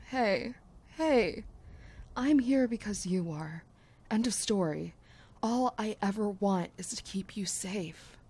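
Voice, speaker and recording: A woman's voice: mid-range voice, expressive, takes it slowly, long pauses, dark tone, breathy edge, heavily acted. A woman playing a protective, devoted young survivor in an audio drama, speaking bluntly and tenderly with intensity. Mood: tender, reassuring. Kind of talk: amateur audio drama acting.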